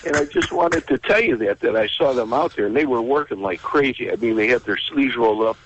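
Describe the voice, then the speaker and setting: A man's voice: mid-range voice, brisk, background noise. A man calling into a radio show, sarcastic and then earnest, rambling. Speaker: caller. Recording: muffled phone line.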